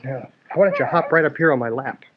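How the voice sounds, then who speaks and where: A man's voice: medium pitch, fast talker, noisy background. A man reading a story aloud to a child, expressively, with casual asides. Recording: dull lo-fi home recording.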